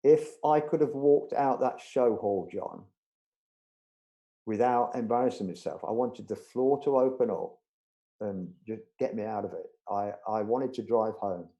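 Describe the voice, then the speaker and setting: A man's voice: on the low side, a little slow, mellow, low-quality recording. A middle-aged man, telling anecdotes in a casual interview, chatty and full of restarts. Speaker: budgerigar show judge, interview guest.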